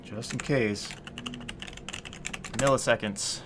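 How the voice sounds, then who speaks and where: A man's voice: mid-range voice, slow, noisy background. A man in his late thirties chatting casually on a live stream as he works at the computer, thinking aloud to himself, answering viewers and chuckling often. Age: 30s. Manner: muttering to himself while working, chatting with viewers. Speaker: streamer coding on a livestream. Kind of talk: casual live-stream chat while working.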